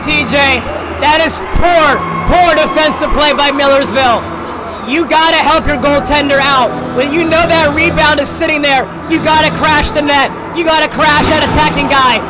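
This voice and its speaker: Brief: male color commentator, very high pitch, insistent, arena crowd roaring behind. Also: fairly even intonation, moderate pace, loud music behind the voice, poor audio. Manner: emphatic, repeats his points. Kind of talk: excited hockey color commentary.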